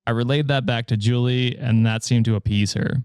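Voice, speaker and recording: A man's voice: mid-range voice, a little fast, clear, resonant tone, no room sound, clean studio sound. An American man hosting a podcast, reading Reddit stories aloud and chatting casually, laughing often. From United States. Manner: laughs often, relaxed storytelling with fillers. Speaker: podcast host.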